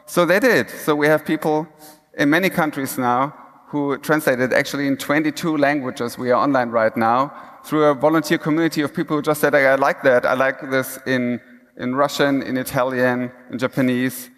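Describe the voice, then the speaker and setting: Man, conversational keynote, mid pitch, long hall reverb. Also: steady tone, normal speed, a bit bright. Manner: casual, conversational, frequent restarts and fillers. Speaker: keynote speaker. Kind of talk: conference talk. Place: large conference hall stage.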